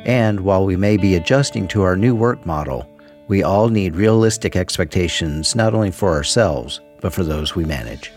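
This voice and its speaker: A man's voice: sits low, lively intonation, normal speed, darkish tone, soft background music. A man hosting a podcast in a conversational way, asking long questions made of several parts. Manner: conversational, asks long multi-part questions. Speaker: podcast host. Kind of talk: interview hosting.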